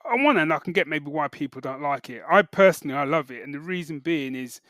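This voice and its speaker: A man with a slightly raised pitch talks as a podcast co-host. He gives his opinions in loose, colloquial language, full of conversational tags. The sound is dry, with very little room in it. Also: lively intonation, quick pace, a bit bright, no room sound. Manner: colloquial, opinionated, peppers talk with "you know" and "like". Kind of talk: casual chat review.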